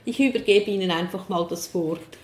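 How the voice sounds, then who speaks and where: A woman's voice: fairly low pitch, normal speed, a little mellow. A woman interviewer posing a formal, polite question to a guest. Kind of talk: interview introduction. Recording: roomy echo with faint noise.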